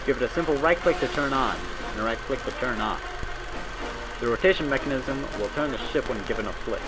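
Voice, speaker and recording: A man reading scripted narration in the style of a 1940s military training film, brisk and instructive with folksy old-time phrasing. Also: medium pitch, heavy background noise.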